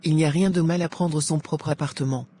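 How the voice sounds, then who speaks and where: A woman's voice: sits low, steady tone, a little fast, dark tone, lightly acted. A woman playing a supportive middle-aged mother in a cartoon. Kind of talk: cartoon voice acting. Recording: faint background noise.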